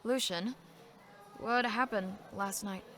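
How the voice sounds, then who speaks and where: A woman acting the part of a blunt, protective, sharp-tongued elder sister in an audio drama, with a scolding, forthright manner. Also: medium pitch, steady tone, takes it slowly, soft background music. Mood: concerned, probing.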